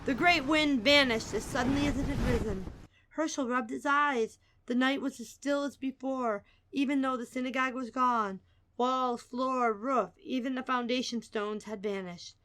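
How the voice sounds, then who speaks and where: Bright, dramatic woman storybook narrator. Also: high voice, normal speed, poor audio. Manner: theatrical, emphatic, suspenseful.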